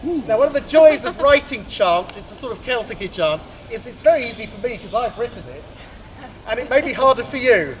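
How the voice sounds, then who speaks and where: A man's voice: very high-pitched, animated, fairly quick, faint music behind. A man, a priest, giving a chatty, explaining talk to a gathering and laughing at his own jokes. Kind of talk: informal address to a gathering. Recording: noisy outdoor ambience.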